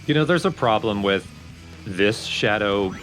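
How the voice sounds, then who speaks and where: Man, low voice, casual analytical let's play commentary, light game music in background. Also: expressive, measured pace, a bit bright.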